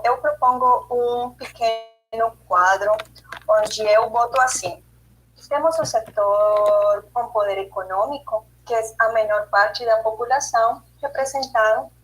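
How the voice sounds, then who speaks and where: Woman on a video call, somewhat high voice, hesitant presenter with fillers. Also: fairly bright tone, poor audio. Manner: careful, hesitant, with many fillers and restarts. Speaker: study group presenter. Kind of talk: online study group presentation.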